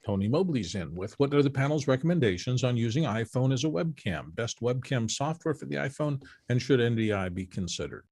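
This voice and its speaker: A man's voice: mid-range voice, expressive, brisk, hardly any pauses, darkish tone, no room sound. Man reading out viewer questions.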